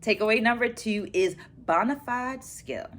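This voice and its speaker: A middle-aged woman presenting to viewers as a dance educator, in a measured, careful, teacherly way. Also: on the high side, fairly bright tone. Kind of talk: book-review vlog, presenting takeaways to viewers. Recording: clear background noise, room ambience.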